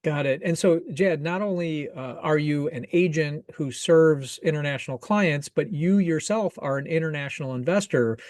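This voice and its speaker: A fairly high-voiced man hosts the show in an upbeat, promotional tone. His voice comes through dry and close, with no room sound. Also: moderate pace, hardly any pauses, no echo. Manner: energetic, promotional. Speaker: podcast host, realtor. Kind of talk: upbeat show intro and interview.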